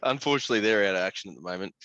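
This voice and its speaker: A man's voice: lowish voice, rapid-fire, sharp tone, dry recording, highs cut off. An Australian man chatting casually as a podcast host, blokey and sweary, full of slang.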